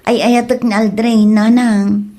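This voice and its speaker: A woman's voice: a little high, little pitch movement, slow, warm tone. A woman playing a devoted, protesting young wife and mother with an Ilocano accent in a comedy drama. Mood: defensive.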